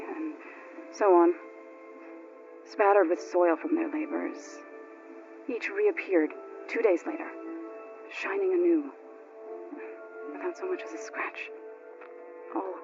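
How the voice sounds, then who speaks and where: A solemn woman of a tribe that reveres its gods. Her delivery is formal and earnest, like careful storytelling in a game drama. The audio is a little dull, and music plays prominently underneath. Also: fairly low pitch. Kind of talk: dramatic video game acting. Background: prominent background music.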